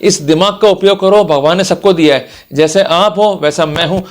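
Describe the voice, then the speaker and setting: Male lecturer, notably high voice, emphatic and instructive, addressing online viewers directly. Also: normal speed. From India. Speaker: lawyer giving a law lecture.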